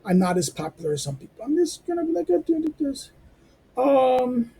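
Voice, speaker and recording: A hoarse man hosting a lecture channel, rambling through his outro with lots of fillers, restarts and asides. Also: very animated, moderate pace, warm tone, poor audio. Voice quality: squeaky. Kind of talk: informal spoken outro to a reading lecture.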